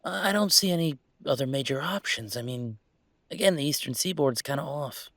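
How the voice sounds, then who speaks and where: Casting: young male werewolf played by a man for boyfriend roleplay audio; gravelly fry, close dry sound, halting, affectionate, conversational delivery. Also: a little high, lively intonation, a bit bright, no echo. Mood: pensive, wry. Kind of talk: intimate audio roleplay acting, one-sided conversation.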